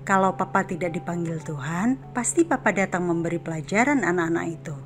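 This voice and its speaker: A woman playing a gentle, sorrowful mother in an animated horror story. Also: lowish voice, brisk, lightly acted. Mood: reassuring.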